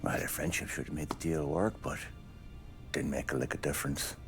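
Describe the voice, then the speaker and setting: An actor playing a cynical, hard-nosed American lawman in a crime video game, blunt and sardonic, with a gravelly voice. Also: extremely low pitch, steady tone, brisk. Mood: probing. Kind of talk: cinematic video game crime drama acting. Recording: music behind the voice.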